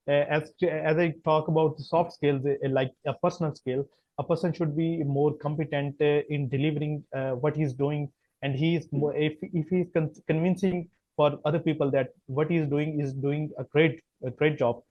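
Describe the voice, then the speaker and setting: A man with a Pakistani accent, a Punjabi speaker, answering podcast interview questions as a chemical engineer, talking haltingly with many fillers and restarts. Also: a little high, fairly even intonation, no echo, lo-fi sound.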